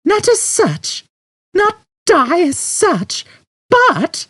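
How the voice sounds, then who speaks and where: A man voicing a patient, firm woman aboard a spaceship in an audiobook, bright and compassionate in manner. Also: extremely high pitch, wildly swooping pitch, extremely slow, dry recording, studio quality, voice pushed up, exaggerated voice. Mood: reproachful.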